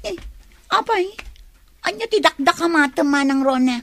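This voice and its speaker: A woman's voice: high-pitched, lively intonation, moderate pace, a bit bright, slight background noise. An actress playing a scheming, evasive young woman in a radio drama. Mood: flustered, evasive.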